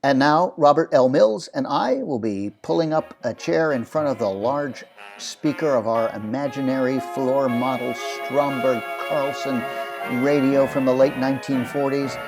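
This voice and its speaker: A man's voice: medium pitch, a little slow, music in the background. A man hosting an interview, putting questions to his guest and introducing what comes next. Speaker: host, interviewer.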